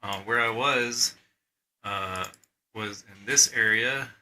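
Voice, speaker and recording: A man with a very bright, forward voice talks through an informal presentation. His pitch sits a little low, and he feels his way through each thought with some hesitation. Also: slow, rough recording. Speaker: amateur radio operator presenting recordings.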